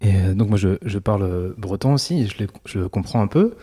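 Male interviewer; low-ish, relaxed tone; searching, stop-start questions. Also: slightly rough. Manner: halting questions with fillers.

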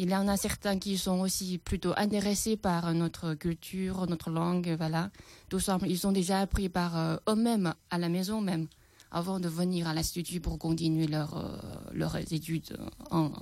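A woman's voice: on the low side, fairly even intonation, measured pace, dark tone, dry recording. Woman with a Chinese accent, hesitant. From China. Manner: hesitant, many fillers. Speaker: Chinese teacher, guest. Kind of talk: radio interview answers.

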